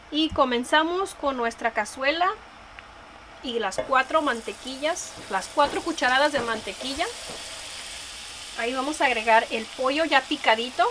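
A woman with a Mexican Spanish accent chatting enthusiastically as she cooks in a home kitchen, filmed over the stove. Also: high-pitched. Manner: chatty, enthusiastic about food. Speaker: cooking vlogger. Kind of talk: casual cooking narration. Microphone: camera filming hands at the stove. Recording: kitchen noise. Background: frying and sizzling.

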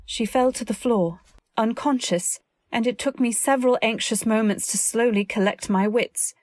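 A woman playing an anxious, earnest wife, narrating a radio drama in a literary, deliberate way. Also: a little high, a little mellow, slight background noise, close to an everyday voice. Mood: tense, reflective. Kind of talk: dramatic scripted narration, old-time radio.

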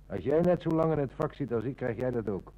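A man's voice: mid-range voice, lively intonation, a little fast, dark tone, natural voice, low-quality recording. A middle-aged man acting a seasoned, confident police inspector in a Dutch radio play. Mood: self-assured.

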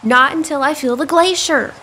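A woman's voice: high-pitched, normal speed, bright, forceful tone, slight background noise. A woman playing a spirited, dreamy young bride in a Victorian audio drama, airy and theatrical. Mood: entranced.

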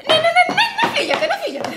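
Very high-pitched, fiery proud woman. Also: huge pitch swings, heavy background noise, full character voice. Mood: agitated, torn. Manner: imperious, exclamatory, wavering. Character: fiery, indignant, proud woman, torn by attraction. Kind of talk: radio theatre acting.

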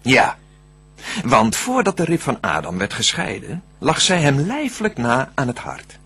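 Husky devil guy scheming in an old verse radio play, slow-ish, very theatrical swoopy delivery. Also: mid-range voice, wildly swooping pitch, fairly bright tone, heavily acted. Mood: persuasive, scheming. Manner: theatrical, scheming, deliberate declamation. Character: cunning, smooth-tongued male devil plotting to tempt mankind. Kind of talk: Dutch verse radio drama acting.

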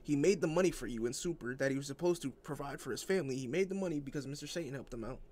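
A man's voice: mid-range voice, racing, a little mellow, a little hiss or hum. A man giving casual opinion commentary as an online anime fan, in an argumentative, exasperated manner.

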